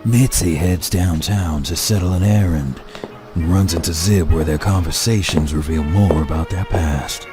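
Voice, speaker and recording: A man's voice: deep voice, moderate pace, close to an everyday voice. A man playing an announcer, giving scripted narration for a radio serial. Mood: announcing. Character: male radio serial announcer recapping episodes. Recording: music under the voice.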